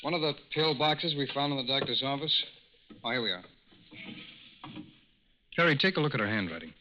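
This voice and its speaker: An actor playing a shrewd, businesslike federal agent with a clipped, matter-of-fact delivery in a 1940s radio drama. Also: male voice, medium pitch, expressive, a little fast, close to an everyday voice. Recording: muffled 1940s radio recording.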